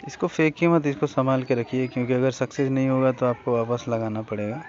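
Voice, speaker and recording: A man's voice: medium pitch, steady tone, fairly quick, a little mellow. An Indian man giving a casual hands-on repair tutorial, speaking informally and instructing viewers directly. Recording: dull lo-fi recording with faint noise.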